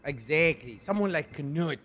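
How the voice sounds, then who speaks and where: A man's voice: fairly high pitch, expressive, unhurried, fairly bright tone, slight background noise. A man playing a defensive, hapless crook in improvised game role-play, protesting with indignant, swooping emphasis. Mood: scheming, eager.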